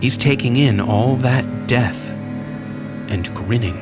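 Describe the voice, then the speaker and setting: A man giving a dramatic first-person horror narration, intense and deliberate, in halting short phrases. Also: mid-range voice. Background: music.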